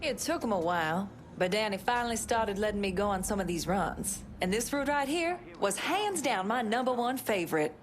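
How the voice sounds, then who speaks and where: Game role: actress as tough, wry woman in the underworld, candid delivery, average pitch. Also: lively intonation, normal speed, hardly any pauses, slight background noise, natural voice. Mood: spirited. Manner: frank, wry, swearing. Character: tough, candid, sharp-tongued American woman in crime. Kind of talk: video game voice acting.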